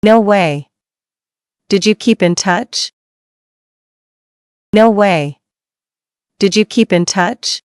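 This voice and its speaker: A woman reading English dialogue lines slowly and clearly for learners, repeating each line. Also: mid-range voice, dry recording, clean studio sound. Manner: slow, clear, each line read twice.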